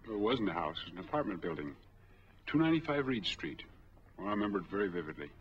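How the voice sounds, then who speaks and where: An American actor in his forties playing a smooth, easygoing drifter in a 1940s film drama. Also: deep voice, big pitch swings, fast, exaggerated voice. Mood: earnest, measured. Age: 40s. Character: smooth, easygoing drifter applying for bank money. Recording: dull old 1940s film soundtrack.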